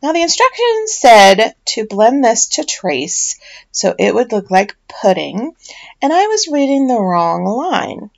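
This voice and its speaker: A woman's voice: a little high, very animated, slow pace, gravelly, dull audio. A middle-aged woman with a chatty, self-deprecating manner, giving a casual voiceover narration as a home soap maker.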